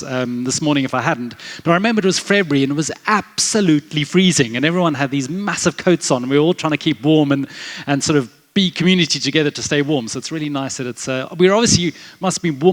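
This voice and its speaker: A man's voice: a little high, fairly bright tone. A middle-aged man with a South African accent giving a chatty, self-deprecating conference talk on stage, full of fillers and restarts. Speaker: conference speaker, developer advocate. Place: large converted warehouse venue.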